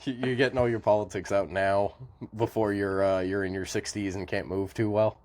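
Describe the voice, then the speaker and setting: Deep-voiced podcast host, conversational. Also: male voice, steady tone, moderate pace, a little mellow. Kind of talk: casual interview conversation.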